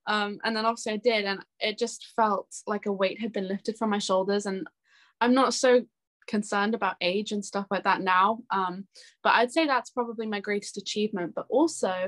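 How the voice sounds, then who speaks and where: A woman in her twenties speaking as an interview guest in a casual, chatty and expressive way. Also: mid-range voice, normal speed. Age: 20s. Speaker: interview guest, singer-songwriter.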